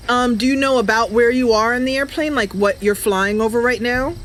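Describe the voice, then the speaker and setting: A woman hosting a casual gameplay livestream, chatty and giggly, with hesitant pauses. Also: on the high side, rough recording. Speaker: livestream host. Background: game music and ambient sounds.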